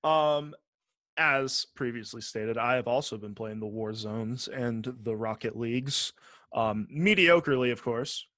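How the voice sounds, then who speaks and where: A man's voice: medium pitch, a little slow, pushed and bright, dry recording, studio quality. A man co-hosting a podcast, chatting casually about video games with wry mock-formal phrasing and some swearing. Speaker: podcast co-host.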